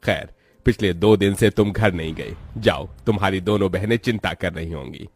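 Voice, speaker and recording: Man voicing a older male merchant, lowish voice, kindly, fatherly boss, cartoon drama, dry sound, faint music. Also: moderate pace, dry recording. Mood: fatherly, approving. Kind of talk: moral-story cartoon voice acting.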